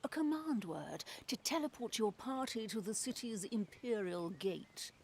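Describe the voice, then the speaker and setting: A fairly high woman's voice at a very slow pace, as a practical captain in a fantasy game. She is composed and matter-of-fact, over clear ambient noise. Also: expressive. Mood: instructive. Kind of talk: video game cutscene acting.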